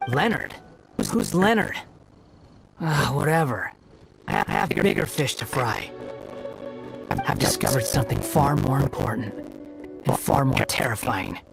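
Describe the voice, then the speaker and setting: Sneering young villain, creaky-voiced. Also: male voice, medium pitch, animated, a little slow, pauses often, rough recording. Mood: grave, agitated. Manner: smug, scornful, theatrical. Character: arrogant sneering young male villain, theatrical and volatile. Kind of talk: video game voice acting. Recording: music under the voice.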